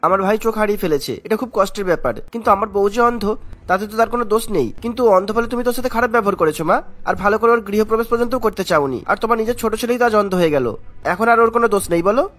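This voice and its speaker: Man voicing an aggrieved, high-pitched young husband. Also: rapid-fire, a bit bright. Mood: reproachful. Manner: reproachful, insistent. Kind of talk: Bengali cartoon voice acting.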